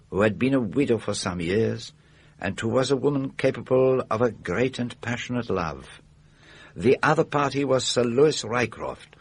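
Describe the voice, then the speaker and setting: A shrewd older detective with a Belgian French accent. The voice is slightly husky and middling in pitch. He questions patiently and formally, placing words with care in classic radio drama style. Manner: precise, formal, deliberate questioning.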